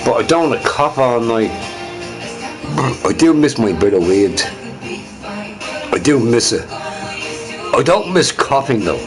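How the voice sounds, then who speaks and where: A man's voice: medium pitch, sing-song, slow, long pauses, music in the background. A man streaming on his own, chatting casually in a rambling, profane way and laughing often. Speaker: livestreamer. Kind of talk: rambling solo stream chat.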